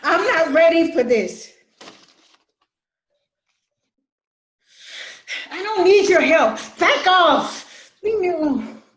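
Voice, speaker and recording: A brash, defensive woman in a family stage drama , acted by a woman. Her voice is high and swings widely as she sasses and snaps in slang. It is recorded in an echoey room. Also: animated, a little slow, a bit bright, exaggerated voice. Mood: angry, wounded. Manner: sassy, combative, slangy. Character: brash, defensive, resentful grown sister from Detroit, quick to snap. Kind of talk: dramatic play reading.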